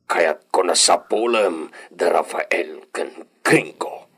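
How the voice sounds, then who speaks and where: A man playing a grave, brooding landowner in a radio drama, speaking in a slow, hushed, deliberate way. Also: sits low, husky, exaggerated voice. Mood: grave, secretive. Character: grave, brooding hacienda lord, a don.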